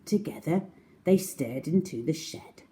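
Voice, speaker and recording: Slow, animated woman reading a children's story. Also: deep voice, slight background noise. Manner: dramatic, animated.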